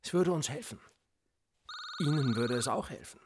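A man's voice: a little high, expressive, moderate pace, noisy background, lightly acted. An actor playing a police interrogator in a radio play, pressing and sarcastic as he questions a suspect. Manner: pressing, sarcastic, quietly needling. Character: probing male cop, middle-aged, cold and persistent.

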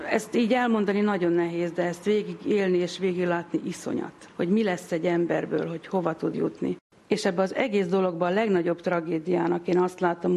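Woman, middle-aged or older, interviewee giving spontaneous testimony, noticeably creaky voice, mid pitch. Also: darkish tone. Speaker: interviewee, relative of a patient. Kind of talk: personal testimony, spontaneous storytelling.

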